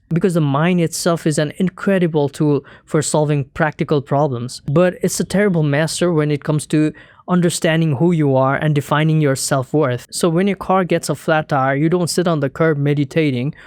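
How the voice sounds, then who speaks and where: A young man from Nepal whose first language is Nepali, giving a calm, earnest, scripted talk as a spiritual teacher. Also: on the high side, no echo, studio quality. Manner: measured, earnest, explanatory.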